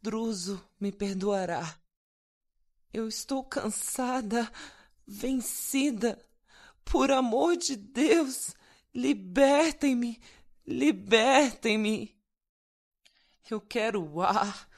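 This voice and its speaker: A woman voicing a tormented, exhausted female spirit who pleads slowly in a broken voice, in a radio drama. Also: medium pitch. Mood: pleading. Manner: slow, broken, pleading delivery.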